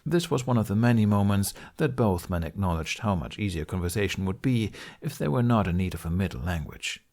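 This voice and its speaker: A man reads a fiction audiobook as its narrator. His voice is on the low side, and he delivers scripted storytelling prose. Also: animated, brisk, a little mellow.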